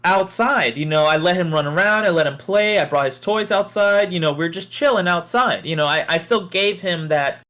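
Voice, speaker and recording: Higher-pitched man chatting casually. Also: moderate pace, hardly any pauses, pushed and bright. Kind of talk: casual podcast chat and storytelling.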